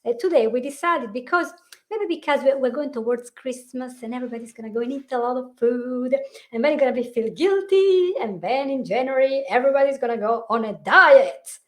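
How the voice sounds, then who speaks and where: A woman's voice: sits high, animated, fairly quick. A woman hosting a casual online chat over a video call, chatty and often restarting her words. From Italy. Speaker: host, hypnotherapist. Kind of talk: casual live-stream chat.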